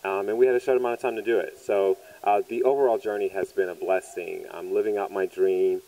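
Guy from south louisiana, lowish voice, chatting in an interview as a producer. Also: steady tone, brisk, warm tone, rough recording. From southern Louisiana, United States. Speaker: stage play producer and writer. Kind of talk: interview answers, conversational promotion. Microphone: handheld microphone held by interviewer.

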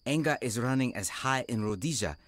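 An actor playing an earnest young southern African convert turned preacher in a radio drama. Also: male voice, mid-range voice, expressive, fairly quick, a bit bright, close to an everyday voice. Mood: earnest, reflective.

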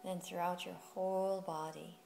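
A woman leading a guided meditation as a yoga teacher, speaking in a soothing, unhurried way with long pauses. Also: fairly low pitch, little pitch movement, creaky, a little mellow, a little hiss or hum. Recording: music playing behind the voice. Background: music.

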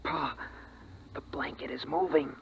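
A young-sounding male actor playing a watchful, earnest boy with a husky voice in a 1950s radio drama. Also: a little high, a little slow, a little hiss or hum. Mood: frightened. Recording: dull 1950s radio recording.

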